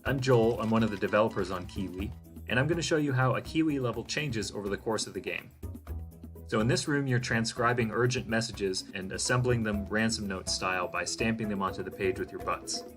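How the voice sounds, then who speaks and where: A man's voice: fairly low pitch, brisk. A man narrating a game demo from a script as a developer. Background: music.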